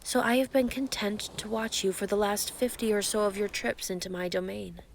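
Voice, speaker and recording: A woman playing an ancient, giant female sea creature, calm and grandiose, flirting possessively in an intimate roleplay monologue. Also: medium pitch, moderate pace, gravelly, a little hiss or hum, lightly acted. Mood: teasing adoration. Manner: calm, teasing, grandiose, formal wording.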